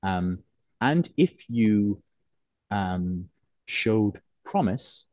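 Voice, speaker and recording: A low-pitched man speaks in a soft Cork accent that has been neutralised toward English. He is thoughtful and hesitant, rephrasing as he goes in a reflective interview. The recording is dry, with very little room around the voice. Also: expressive, very slow, no room sound. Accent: soft, neutralised Cork Irish, closer to English. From Cork, Ireland. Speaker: interviewed writer.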